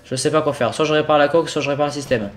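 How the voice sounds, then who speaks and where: A man's voice: fairly high pitch, racing, noisy background. A young man with a cold, casually commentating his own gameplay, exasperated and swearing as things go wrong. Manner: swearing, exasperated, talking to himself while playing. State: sick with a cold. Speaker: gaming video host. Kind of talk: casual let's-play commentary.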